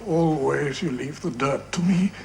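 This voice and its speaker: A man's voice: fairly high pitch, unhurried, vocal fry, slight background noise. An actor playing a male henchman in a radio drama, grudging, with stiff, foreign-sounding phrasing. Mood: menacing. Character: brutish male henchman who does the dirty work. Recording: echoey with music underneath.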